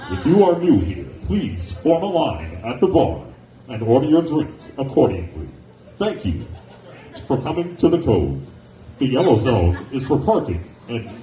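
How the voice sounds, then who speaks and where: Wry forties comic in an echoey club. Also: male voice, medium pitch, big pitch swings, moderate pace, mellow. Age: 40s. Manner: sardonic, wry asides, chatty with the audience. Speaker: stand-up comedian. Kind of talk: stand-up comedy set with crowd work. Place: nightclub stage, indoors. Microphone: handheld stage mic. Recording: echoey club recorded from a distance, with background noise.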